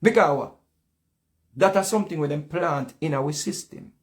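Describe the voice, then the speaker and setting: A man's voice: a little high, normal speed. A man with a Jamaican accent giving casual, heated commentary in patois, often repeating himself. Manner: heated, repetitive, speaks in patois.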